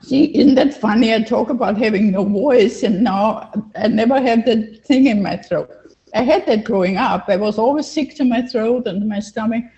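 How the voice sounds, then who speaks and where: Older female coach, Austrian accent, slightly high mellow voice, emphatic personal storytelling, dull lo-fi call recording. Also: normal speed. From Vienna, Austria. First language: German. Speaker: interview guest, life coach. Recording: dull, lo-fi online call audio.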